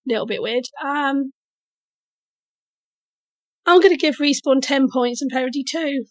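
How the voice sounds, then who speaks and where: A woman's voice: sits high, unhurried, dry recording. A woman judging a comedy game on a podcast, blunt and dry in her verdicts. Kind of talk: casual podcast banter.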